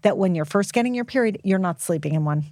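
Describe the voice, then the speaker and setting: A woman's voice: mid-range voice, expressive, fast, a little mellow, no room sound, clean studio sound. A woman speaking as an expert podcast guest, giving frank, informal and emphatic advice to young listeners. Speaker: guest author and health expert.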